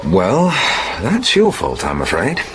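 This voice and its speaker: A man's voice: sits low, wildly swooping pitch, slow pace, noisy background, full character voice. A man acting in a radio drama as an earnest, protective polar ice scientist who explains things methodically. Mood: explaining.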